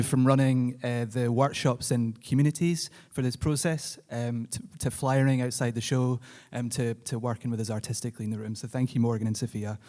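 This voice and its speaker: Mid-pitched man giving thanks on stage. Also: darkish tone. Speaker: theatre-maker accepting an award. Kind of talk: thank-you speech. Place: live on stage at an awards ceremony.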